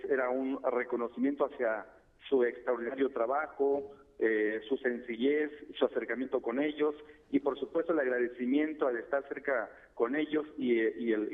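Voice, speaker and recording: A man from Chiapas whose first language is Tseltal, speaking as a guest expert by phone in a measured, formal way. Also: medium pitch, steady tone. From Chiapas, Mexico. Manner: measured, formal, addresses host by name. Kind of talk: radio phone interview. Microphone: telephone. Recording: tinny phone line.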